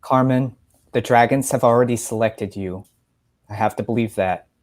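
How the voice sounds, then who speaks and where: A man playing a gentle, earnest father who is a magic professor in a story reading, speaking in a measured, reassuring way. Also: mid-range voice, lightly acted. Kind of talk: story reading, dialogue part.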